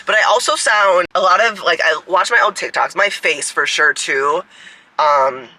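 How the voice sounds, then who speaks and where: A woman in her mid-twenties with a deep, raspy voice, rambling casually to a phone camera outdoors, full of "like" fillers and swearing. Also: moderate pace, bright, forceful tone, poor audio. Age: 20s. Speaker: fitness coach and creator. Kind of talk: casual vlog talk to camera.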